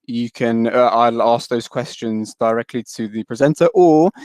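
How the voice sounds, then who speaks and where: A man's voice: medium pitch, normal speed, darkish tone, no echo. A man hosting a webinar, introducing the guest and explaining housekeeping in a casual way, often saying "uh" and "so". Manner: casual, fills with "uh" and "so". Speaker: webinar host. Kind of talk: moderating an online webinar. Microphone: video call.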